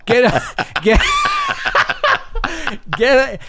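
A man's voice: very high voice, vocal fry, a bit bright, a little hiss or hum, pitched above the performer's own voice, full character voice. A man, a voice actor, putting on a silly kangaroo voice in a cartoonish comic bit. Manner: rapid joking impressions. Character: goofy male talking-kangaroo impressions.

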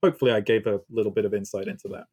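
A man's voice: fairly low pitch, expressive, rapid-fire, darkish tone. Male guest, faint British accent, chatty and enthusiastic, rambling answers. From Britain. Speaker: guest composer. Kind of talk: casual podcast interview.

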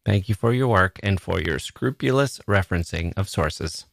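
A man's voice: low-pitched, expressive, moderate pace, creaky, no echo, studio quality. A man hosting a podcast, speaking to listeners in an earnest, musing way and repeating words for emphasis. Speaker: podcast host. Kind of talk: reflective monologue to listeners.

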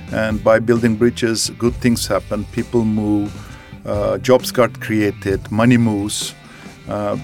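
Gravelly low-voiced executive man. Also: a little mellow. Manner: earnest, slightly broken phrasing. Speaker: company executive. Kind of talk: interview answers.

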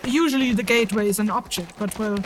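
A woman's voice: mid-range voice, quick pace, slight background noise, lightly acted. A woman playing a proud, distrustful summoner in an audio drama, speaking in a curt, authoritative and dry manner. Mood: explaining, firm. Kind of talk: audio fiction acting.

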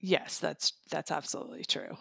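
Female reviewer, conversational delivery, a little low in pitch, very dry sound. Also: sing-song, moderate pace, gravelly, sharp tone, slightly rough, dry recording. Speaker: guest book reviewer and magazine contributor.

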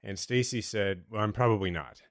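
A man's voice: lowish voice, a little fast, no echo, studio quality. A man co-hosting a podcast, chatty and enthusiastic, rambling with many fillers and restarts. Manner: rambling, self-interrupting, enthusiastic with frequent fillers. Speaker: podcast co-host. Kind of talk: casual podcast chat.

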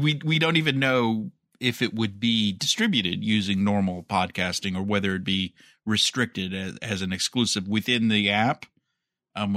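A man's voice: lowish voice, pushed and bright, no room sound, studio quality. A man co-hosting a podcast, casually talking through entertainment news in an analytical, speculative way. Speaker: podcast co-host.

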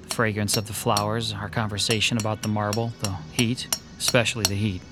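A man's voice: on the low side, little pitch movement, fairly quick, close to an everyday voice. A man playing a hesitant, uneasy sketch artist. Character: uneasy male sketch artist haunted by déjà vu. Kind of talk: classic radio drama acting.

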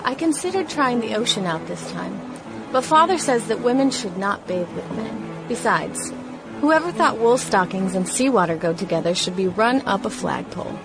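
A woman's voice: mid-range voice, expressive, moderate pace, gravelly, low-quality recording. Young woman reading her 1916 diary, wry period radio play, music bed.

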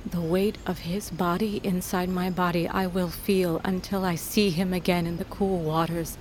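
Actress as a low-voiced, solemn grieving mother. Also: level tone, darkish tone, slight background noise, lightly acted. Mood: grave, grieving. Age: middle-aged. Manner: measured, weighty, storytelling delivery. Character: grieving, solemn mother, proud wolf queen's daughter. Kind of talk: fantasy audio drama acting.

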